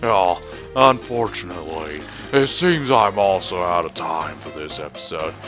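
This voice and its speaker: A man's voice: low-pitched, lively intonation, measured pace, a bit bright, faint music behind. A man hosting a let's play with casual, rambling, goofy commentary over a video game. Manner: rambling, goofy, breaks into put-on voices.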